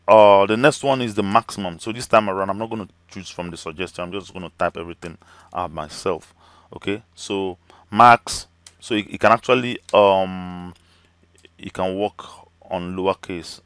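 Male teacher, tutorial voice-over, slightly hoarse and cracking, lots of "okay"s, dry close sound. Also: fairly low pitch, no room sound. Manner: chatty, many fillers and "okay" checks. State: voice slightly cracking, losing his voice. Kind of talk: screen-recorded software tutorial.

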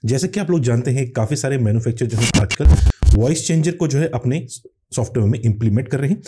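A man's voice: mid-range voice, mellow, a little hiss or hum. A man hosting a tech channel, explaining phone features step by step in tutorial commentary.

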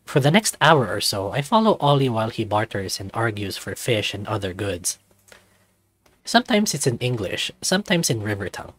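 A man reading a visual novel aloud as scripted, expressive first-person narration. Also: on the low side, normal speed, no room sound.

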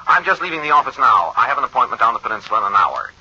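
An actor playing a brisk, polite insurance adjuster in a 1950s radio drama, formal and hurried, through a telephone filter. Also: male voice, medium pitch, very animated, bright, forceful tone, slightly rough, heavily acted. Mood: polite, urgent. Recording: thin, muffled old radio phone line.

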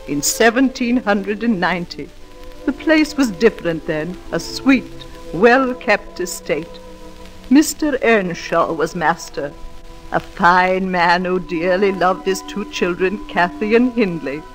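A woman playing a grave, weary old housekeeper in a 1940s radio drama, deferential and measured. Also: fairly low pitch, animated, rough recording. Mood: solemn, reminiscing. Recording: old radio broadcast with music behind.

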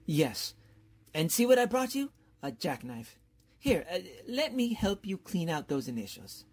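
An actor playing an eager, flattering, bumbling male professor in a theatrical old-time radio comedy style. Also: mid-range voice, unhurried, breathy edge. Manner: theatrical, effusive, hesitant fillers.

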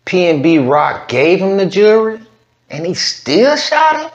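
A man's voice: sits high, very animated, a little slow. A man hosting a reaction video, talking in a drawling, slangy, incredulous way. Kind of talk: casual reaction commentary. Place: virtual news studio set. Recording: lo-fi, roomy sound.

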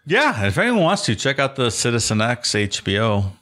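A man's voice: medium pitch, big pitch swings, moderate pace, bright, forceful tone. A young man co-hosting a casual podcast, cracking dry jokes. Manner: dry, wisecracking. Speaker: podcast co-host.

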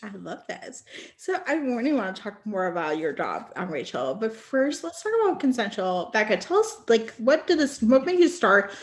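A woman's voice: fairly high pitch, big pitch swings, normal speed. A woman hosting a casual podcast interview, asking questions in an enthusiastic, encouraging way.

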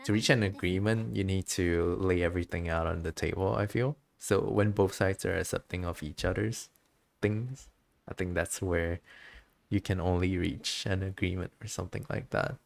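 A man's voice: sits low, steady tone, normal speed, creaky. A man streaming a game with casual, musing commentary, a little hesitant as he thinks aloud.

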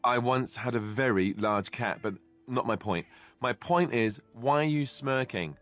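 An actor playing a suspicious, awkward young companion in video game banter, wry and pointed. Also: male voice, mid-range voice, unhurried, a bit bright, faint music behind, close to an everyday voice. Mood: wary bickering.

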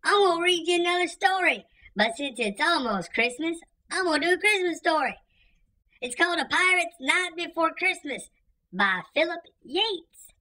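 A man voicing a goofy, excitable childlike storyteller through a pitched-up filter, reading a children's story theatrically. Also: full character voice. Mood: chirpy, folksy. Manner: theatrical, drawn-out delivery. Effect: pitched-up cartoon voice filter. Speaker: children's book reader. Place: indoor room, plain wall.

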